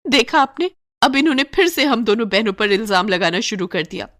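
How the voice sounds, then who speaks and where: A woman's voice: on the high side, animated, a little fast, fairly bright tone. A woman voicing a haughty, spoiled rich young wife who complains indignantly, in a Hindi moral story cartoon.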